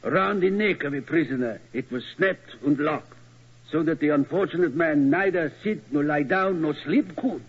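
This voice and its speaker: A tower keeper. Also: male voice, fairly high pitch, moderate pace, slightly rough. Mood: formal. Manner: formal, inverted word order. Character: middle-aged male German tower keeper, fussy. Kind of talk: 1940s radio acting. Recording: muffled old radio recording.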